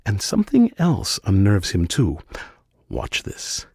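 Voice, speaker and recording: An actor playing a smug, eccentric asylum doctor in a gothic radio drama, genteel and theatrical. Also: male voice, fairly low pitch, wildly swooping pitch, takes it slowly, gravelly, a little mellow, breathy edge, no room sound, clean studio sound, heavily acted. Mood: smug explaining. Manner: theatrical, genteel, gleefully pompous. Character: eccentric, smug asylum doctor showing off his mad patients.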